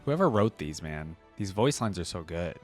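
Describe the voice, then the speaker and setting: A man's voice: sits low, big pitch swings, normal speed, faint music behind. A man hosting a reaction video, commenting casually in an informal, slangy, rambling way.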